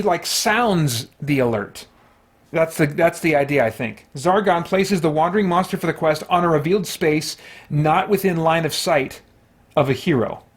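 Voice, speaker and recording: A man hosting a solo tabletop gaming stream, giving casual running commentary and thinking aloud as he talks through each move. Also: fairly high pitch. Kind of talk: casual running commentary while playing a board game.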